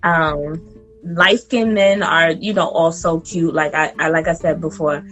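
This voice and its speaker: A woman in her late twenties chatting casually as a podcast guest, animated and laughing, sometimes talking straight to the listeners. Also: low-pitched, unhurried, faint music behind. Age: 20s.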